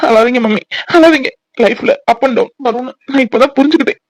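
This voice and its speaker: A man's voice: a little high, level tone, quick pace, no echo, natural voice, low-quality recording. A man playing an emotional, remorseful grown son in a family drama, earnest and pleading. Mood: tearful, remorseful. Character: remorseful, emotional grown son and father, fallen on hard times.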